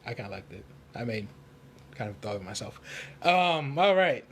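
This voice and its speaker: A man hosting a children's storytime in casual livestream chat, with a goofy, joking manner and drawn-out delivery. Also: mid-range voice, very animated, slight background noise.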